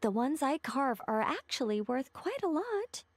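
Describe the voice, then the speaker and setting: A woman playing an ancient female angel in a video game, eloquent and wistful, speaking in a measured storytelling way. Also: sits high, big pitch swings. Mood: wry, frank.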